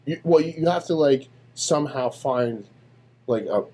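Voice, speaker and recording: A man co-hosting a podcast in casual chat, rambling with halting restarts and easy laughs. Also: medium pitch, animated, mellow. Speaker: podcast co-host.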